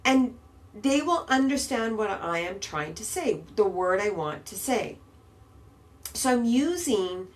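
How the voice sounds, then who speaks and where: Casting: woman podcast host, unhurried, patient teacher. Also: fairly high pitch. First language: English. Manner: slow, patient, emphatic explaining. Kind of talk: podcast grammar lesson. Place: Istanbul.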